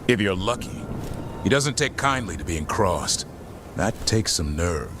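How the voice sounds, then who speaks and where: An actor playing a gruff, wary monster hunter in a fantasy video game, terse and guarded. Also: male voice, sits low, very animated, measured pace. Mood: grim, wry. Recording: game audio with clear background noise.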